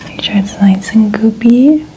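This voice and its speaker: A woman whispering softly and breathily as she gently narrates an ASMR skincare routine step by step. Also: on the high side, unhurried. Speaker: ASMR creator. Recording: clearly noisy ambient background.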